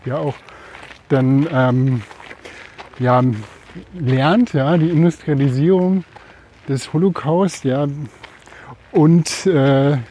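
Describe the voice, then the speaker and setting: A man with a somewhat high but warm, mellow voice co-hosts a podcast conversation. He speaks haltingly, restarting phrases as he hunts for the right words. Faint ambient noise lies underneath. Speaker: podcast co-host. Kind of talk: casual conversation.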